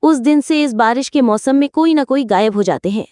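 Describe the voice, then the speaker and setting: Woman as high-voiced serious young villager. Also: expressive, fairly quick, warm tone, dry recording, clean studio sound. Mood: grave, explanatory. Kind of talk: cartoon horror story dialogue.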